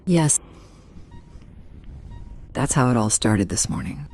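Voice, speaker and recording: A woman playing an anguished wife and mother in a radio drama, brooding in halting, broken phrases. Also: rumbling low, lively intonation, darkish tone, husky, exaggerated voice. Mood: brooding sorrow. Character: anguished wife of an unconscious musician, mother of a young boy. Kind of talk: radio drama acting, intimate monologue.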